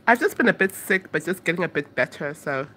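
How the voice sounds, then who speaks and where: A woman's voice: lowish voice, lively intonation, a little fast, fairly bright tone, slight background noise. A young woman from Africa chatting casually to camera as a vlogger, rambling and hesitant with lots of fillers.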